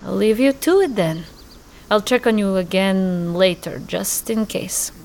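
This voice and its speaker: A woman's voice: medium pitch, unhurried, gravelly, a little mellow. Curt, menacing protective woman. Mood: watchful. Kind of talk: fantasy audio drama acting.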